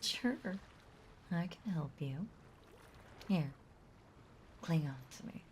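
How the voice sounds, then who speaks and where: Low, breathy possessive mermaid played by a woman. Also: animated, takes it slowly, a little hiss or hum. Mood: cheerful, reassuring. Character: possessive yandere mermaid, playful, sweetly menacing young female. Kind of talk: intimate roleplay audio drama monologue. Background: ocean ambience sound effects.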